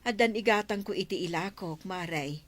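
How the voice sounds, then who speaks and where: An actress with an Ilocano accent playing a grateful, good-natured market vendor in a radio comedy drama. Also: female voice, medium pitch, moderate pace, lightly acted. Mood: pleased.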